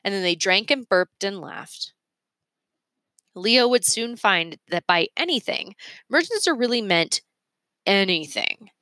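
A woman's voice: mid-range voice, expressive, unhurried, pauses often, pushed and bright, dry recording. A woman reading a children's story aloud as a narrator. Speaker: storybook reader.

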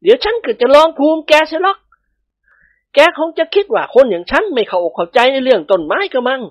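A woman voicing a stern, easily riled older male nobleman who barks and shouts in bursts. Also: sits high, big pitch swings, fairly quick, dry recording, lo-fi sound, voice pushed up. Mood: gruff. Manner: gruff barking, shouting in bursts.

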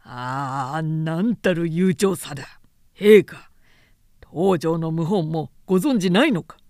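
An imperious warlord from an old Chinese epic, acted out by a female narrator. The voice is low and commanding, full of proud, hot-tempered authority, declaiming in archaic phrases for a storybook reading. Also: slow pace, dark tone, no room sound, studio quality. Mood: angrily scornful.

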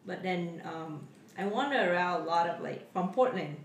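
A woman's voice: fairly low pitch, animated, normal speed. A young woman from Vietnam with a Vietnamese accent, chatting casually as a podcast guest, with many fillers and loose grammar. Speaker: guest, coffee shop manager. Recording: roomy echo, distant mic, faint noise.

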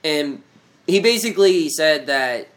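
A man's voice: on the high side, expressive, measured pace, fairly bright tone. A young man hosting a casual sports podcast, talking in a loose, joking, rambling way with plenty of fillers. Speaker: podcast host.

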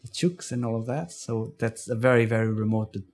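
Portuguese-accented male guest, lowish voice, speaks haltingly with many restarts. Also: darkish tone. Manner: halting, with frequent restarts and fillers. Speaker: interview guest. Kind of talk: casual storytelling.

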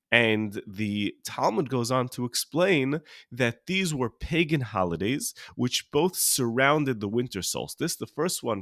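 An American man who is sniffly with a cold, hosting a solo podcast and teaching in an informal, halting way, rephrasing as he goes. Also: mid-range voice, fairly bright tone, dry recording, clean studio sound. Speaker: podcast host and religious teacher.

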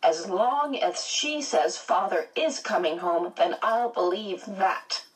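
Woman as a teenage schoolgirl, defiant, blunt, pitched up, audiobook character, slightly distant mic. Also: takes it slowly, lightly acted. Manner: blunt, combative, guarded.

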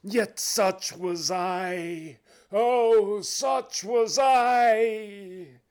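Storybook phantom: anguished, remorseful, declamatory, pitched high with long drawn-out cries. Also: male voice, heavily acted. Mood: anguished wailing. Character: tormented male ghost, wailing, preachy, remorseful old business partner. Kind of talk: dramatised reading of a classic ghost story.

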